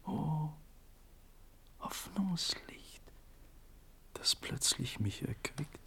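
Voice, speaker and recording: An actor playing a despairing young condemned hero in a classical verse drama, in a breathy, husky near-whisper. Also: male voice, on the high side, lively intonation, drawn-out words, bright, forceful tone, exaggerated voice. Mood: awed, fragile hope.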